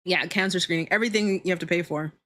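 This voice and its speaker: A woman livestreamer talking casually to her viewers in an animated, opinionated, sweary way. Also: lowish voice, quick pace. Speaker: livestreamer hosting a Q&A.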